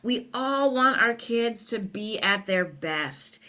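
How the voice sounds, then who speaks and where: Woman with a Liberian English accent, crisp bright tone, earnest webinar talk, middle-aged. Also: fairly high pitch, expressive, slow pace. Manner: earnest, conversational, many "you know" fillers. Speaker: workshop presenter. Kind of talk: webinar presentation.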